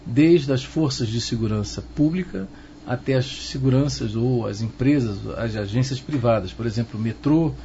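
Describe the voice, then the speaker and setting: A middle-aged man with a Carioca Brazilian accent, answering interview questions as an expert in a measured, didactic way, often checking that the listener follows. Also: mellow. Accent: Carioca Brazilian Portuguese. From Rio de Janeiro, Brazil. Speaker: interviewed expert, professor of security. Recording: muffled low-fi sound, noticeable background noise.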